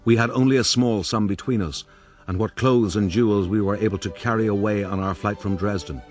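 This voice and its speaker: A male actor's proud old-world adventurer telling his own tale for radio. The voice is deep and measured, with dry, pompous boastfulness. Soft music plays underneath. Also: fairly even intonation, natural voice. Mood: boastful, wry. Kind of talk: dramatized scripted narration.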